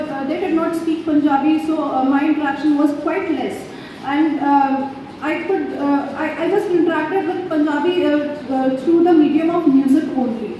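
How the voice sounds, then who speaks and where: A woman from India with an Indian English accent, whose first language is Hindi, giving an in-house teacher training talk with many halting fillers and restarts. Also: high voice, warm tone, slight background noise. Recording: echoey hall from far off, dull.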